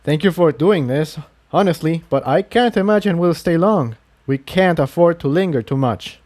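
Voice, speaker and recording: A man's voice: a little high, expressive, moderate pace, a little mellow, voice pushed up, close to an everyday voice. A man voicing a guarded, weary pony refugee who speaks politely and formally in a fantasy audiobook. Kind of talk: acted dialogue in fan fiction audiobook.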